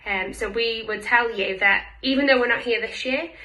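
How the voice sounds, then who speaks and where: Bright, forceful-voiced woman. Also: fairly high pitch, moderate pace, rough recording. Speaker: pantomime performer addressing supporters. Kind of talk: spoken video message to fans.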